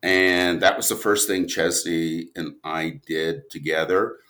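A man talking casually as a podcast guest, an animated storyteller who rambles and interrupts himself. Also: low-pitched, slow. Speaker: guest, audio drama writer and producer.

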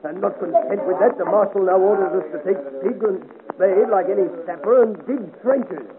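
A man's voice: sits high, normal speed. An actor in a 1950s radio adventure serial playing a tall, defiant French cavalryman with an insolent, taunting manner. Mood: aggrieved. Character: tall, mocking, defiant cavalry trooper in 17th-century France. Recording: noisy, narrow-band old radio recording.

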